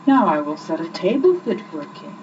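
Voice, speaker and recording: A woman voicing a little old lady in a storybook, full of slow, drawn-out wonder, on a muffled home recording. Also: on the low side, sing-song, a little mellow, recorded from a distance, slight background noise. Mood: pleased, eager. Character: little old lady, easily amazed by stone soup.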